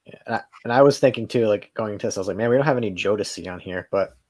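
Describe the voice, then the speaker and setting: Low-voiced male guest, playful banter, dry close mic. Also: rapid-fire, darkish tone, no room sound. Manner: joking, playful. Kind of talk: casual chat.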